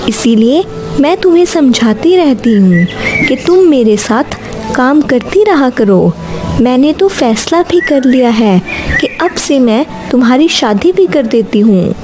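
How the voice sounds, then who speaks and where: A woman's voice: sits high, animated, unhurried, music in the background. A woman voicing a caring, eager middle-aged mother bird in a cartoon moral story, speaking in an earnest, coaxing, polite way.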